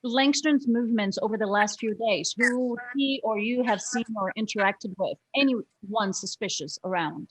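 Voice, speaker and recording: An actress in a screenplay table read playing a younger police detective, wry and skeptical, with a dry, probing manner. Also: female voice, fairly high pitch, normal speed, hardly any pauses, slightly rough, low-quality recording. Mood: pressing.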